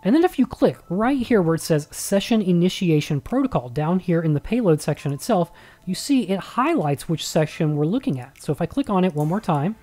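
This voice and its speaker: A woman's voice: fairly low pitch, very animated, brisk, dark tone. A woman instructor narrating a screen-recorded tech tutorial in a conversational, step-by-step way.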